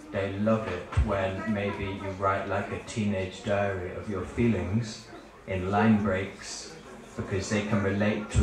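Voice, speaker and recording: A man playing a smug, deadpan literary critic. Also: fairly low pitch, close to an everyday voice. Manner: slow, deadpan, patronizing. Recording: echoey live event hall.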